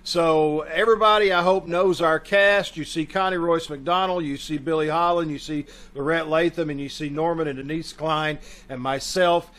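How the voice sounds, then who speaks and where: Higher-pitched man hosting a casual introduction. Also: fairly even intonation, moderate pace, few pauses, fairly bright tone. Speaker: host of a community radio theater troupe's show. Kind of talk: casual spoken introduction and announcements. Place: video call.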